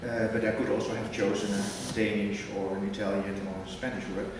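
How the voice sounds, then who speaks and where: Man, formal conference lecture, measured prepared reading, distant mic, big reverberant hall, audible background noise. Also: fairly low pitch, level tone. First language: Dutch. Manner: measured, reads a prepared text, precise wording. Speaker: academic lecturer. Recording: echoey hall from far off with noise.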